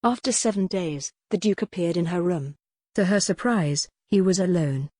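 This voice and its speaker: A woman's voice: on the low side, moderate pace, darkish tone, no echo, clean studio sound. A woman reading a scripted historical story as an audiobook narrator.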